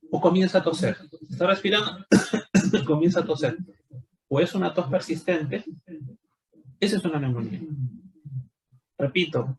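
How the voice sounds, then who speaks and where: A man's voice: on the high side, poor audio. A man giving an explanatory medical lecture, often posing rhetorical questions. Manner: didactic, rhetorical questions with tag "no?". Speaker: doctor giving a talk.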